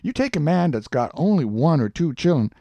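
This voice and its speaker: Man acting a stubborn, animated Southern man arguing. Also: on the high side, brisk, no room sound, dull audio. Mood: insistent. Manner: emphatic, argumentative, dialect speech. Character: adult man Jim, stubborn, indignant, folksy arguer.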